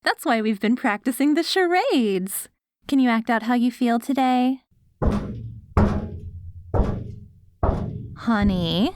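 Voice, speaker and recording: Casting: woman to play doting postapocalyptic wife for ASMR roleplay, higher-pitched voice, sweet and patient coaxing, slow delivery. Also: darkish tone, no echo. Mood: gently patient. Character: doting wife caring for her ghoul husband after apocalypse. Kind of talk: ASMR roleplay audio drama.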